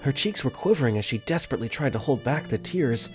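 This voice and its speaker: A young man voicing an earnest, caring dorm caretaker for a fan dub, gently narrating his own thoughts in first person. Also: medium pitch, expressive, fast, close to an everyday voice. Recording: faint music under the voice.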